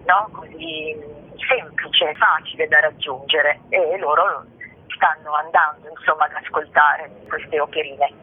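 A woman answering as an interview guest, reflective and halting as she searches for words. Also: low-pitched, steady tone, slightly rough. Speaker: stage actress, interview guest. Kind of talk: spoken interview. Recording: noisy, tinny phone line.

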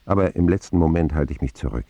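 A man's voice: very deep voice, lively intonation, quick pace, dark tone, dry recording, exaggerated voice. An actor playing a wry, reflective man who narrates his boyhood in an intimate radio drama style. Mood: wry, watchful.

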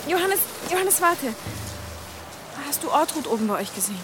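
A woman's voice: high voice, very animated, moderate pace, background noise. A young woman playing an anxious but plucky village girl, urgent and wary, in a German audio drama.

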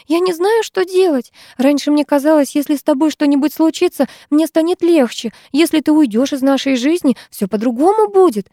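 A woman's voice: sits high, moderate pace, mellow, dry recording, studio quality, pitched above the performer's own voice, lightly acted. A woman reading an audiobook, voicing a desperate teenage schoolgirl who pleads through tears with a breaking voice. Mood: tearful. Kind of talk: audiobook character voice.

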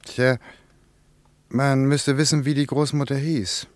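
A man playing a reflective working man in a German radio play, musing in halting phrases. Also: mid-range voice, little pitch movement, no echo, close to an everyday voice. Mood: wistful musing. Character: Ludwig, a reflective working man returned to his childhood town.

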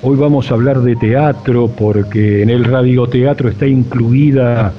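A man with an Argentine accent hosting a radio interview, speaking in measured, elaborate, reflective phrases. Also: medium pitch, fairly even intonation. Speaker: radio host. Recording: dull lo-fi sound, faint music behind.

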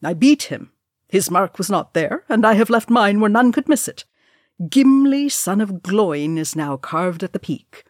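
A woman's voice: mid-range voice, expressive, measured pace, no echo, clean studio sound, pitched above the performer's own voice. A woman voicing a young male dwarf warrior in a storybook reading, hearty, bragging and teasing. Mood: boastful, joking.

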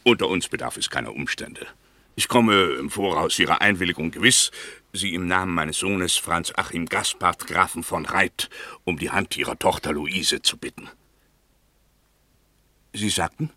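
An actor playing an imperious older aristocratic count in a German radio play, speaking in a stiff, lofty, formal way. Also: male voice, deep voice, normal speed, sharp tone.

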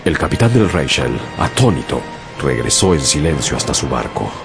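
A man narrating a whaling voyage as a sailor in a radio drama, with a deep, gravelly voice. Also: big pitch swings, normal speed, full character voice. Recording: radio drama with music bed.

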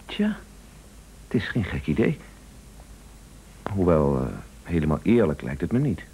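Een acteur in een Nederlands hoorspel speelt een milde, eerlijke echtgenoot die aarzelend en sceptisch praat. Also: male voice, sits low, sing-song, unhurried, mellow, slightly rough, exaggerated voice, rough recording. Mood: doubtful. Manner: doubtful, hesitant. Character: hesitant, sceptical, mild-mannered honest husband. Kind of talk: Dutch radio play acting.

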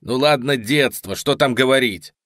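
A man voicing a menacing young male criminal who speaks slowly and coldly, with contempt. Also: medium pitch, fairly bright tone, no room sound, clean studio sound, lightly acted. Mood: bitter. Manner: slow, cold, contemptuous.

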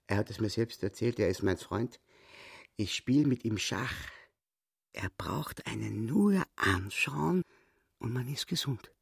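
An actor playing a boastful, cunning little dwarf crook in a radio play, with a Viennese accent and a croaking, wheedling voice. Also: male voice, fairly low pitch, heavily acted. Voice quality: raspy, croaking. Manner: wheedling, bragging storyteller.

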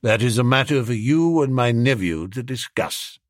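A man voicing a haughty old English duke, stiff, formal and coldly polite in a measured way. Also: mid-range voice, lively intonation, no room sound, studio quality, natural voice. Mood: weary, firm.

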